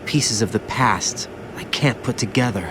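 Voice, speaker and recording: An actor playing a haunted young soldier and former child soldier, bitter and guilt-ridden, in an anguished video game cutscene. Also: male voice, mid-range voice, unhurried, background noise, lightly acted. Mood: bitter confession. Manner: anguished, confessional, brooding. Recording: music behind the voice.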